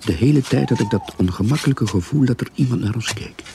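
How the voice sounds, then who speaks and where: An actor playing a haunted grave robber, narrating his grim tale in a husky, gravelly voice in a radio play. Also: medium pitch, lively intonation, fast, noisy background. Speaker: first-person narrator. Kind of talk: dramatic first-person radio play narration.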